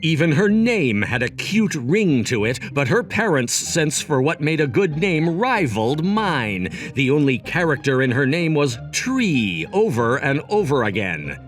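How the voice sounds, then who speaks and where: A man's voice: fairly high pitch, very animated, measured pace, hardly any pauses. An actor playing a smug, logic-obsessed young man who narrates and banters theatrically in a manga dub. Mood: wry, reflective. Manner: smug, wordy, theatrical, dramatic asides. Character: cocky, logic-obsessed young male job seeker, teasing romcom narrator. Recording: music behind the voice.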